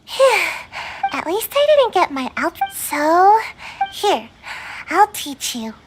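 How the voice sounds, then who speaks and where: A woman's voice: very high-pitched, big pitch swings, slow, a little hiss or hum, exaggerated voice, poor audio. A woman playing a small, drowsy young ninja trainee in a video game, childlike and earnest, a little lazy. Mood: casual. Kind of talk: video game character dialogue.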